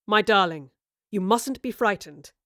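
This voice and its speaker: A woman's voice: medium pitch, lively intonation, a little slow, bright, forceful tone, no room sound, clean studio sound. A woman voicing a ruthless, volatile man in an audio drama, given to furious outbursts. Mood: coaxing.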